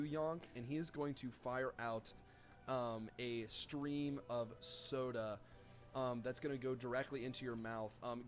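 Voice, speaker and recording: A man narrating a live tabletop game as game master, improvising in a halting way as he searches for words. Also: mid-range voice.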